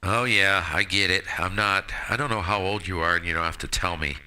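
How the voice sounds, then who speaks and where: A man of about sixty with a low voice and a very sharp, bright edge. He chats casually as a radio hobbyist, slangy and quick to poke fun at himself. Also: steady tone, clean tone, low-quality recording. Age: 59, about to turn sixty. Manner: jokey, self-deprecating, slangy. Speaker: amateur radio operator. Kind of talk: casual radio chat with friends.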